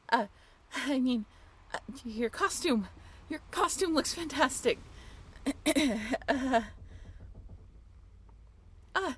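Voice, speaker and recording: A woman's voice: sits high, husky, heavily acted. A woman playing an upbeat young tour guide on a sailing ship in a scripted audio roleplay, with a chatty, playful way of talking. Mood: flustered, pleased. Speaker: role-play voyage guide.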